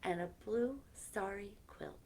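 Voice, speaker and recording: Slow-paced woman reading at children's story time. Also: medium pitch. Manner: expressive, chatty asides between readings. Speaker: library story time host. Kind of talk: read-aloud picture book storytime for children. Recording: clearly noisy live-stream recording.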